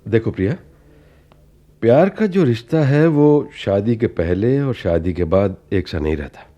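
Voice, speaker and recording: An actor in a radio play playing a middle-aged, avuncular and self-absorbed theatre director, deliberate and mixing English words into his speech. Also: lowish voice, expressive, warm tone, dull audio. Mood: resigned, defensive.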